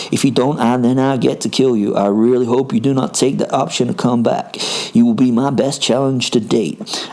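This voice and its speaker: A cocky hulk of an American super-soldier from a story, put on by a man, speaking with an attempted American accent at a medium pitch. He boasts and threatens in a mellow tone, eager for a fight. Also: close to an everyday voice. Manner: menacing, boastful, challenging.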